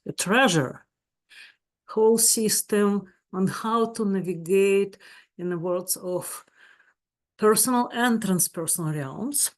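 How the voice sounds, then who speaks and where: A woman with a Russian accent, a therapist giving a webinar talk in her second language, searching for words and speaking earnestly. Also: medium pitch. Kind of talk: webinar presentation.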